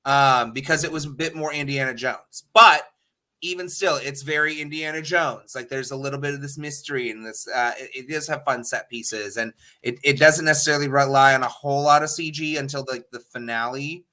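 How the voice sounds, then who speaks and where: He is a man with a forceful, bright voice of middling pitch. He chats casually as a co-host. His talk is loose and opinionated. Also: fairly even intonation, a little fast. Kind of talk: casual chat.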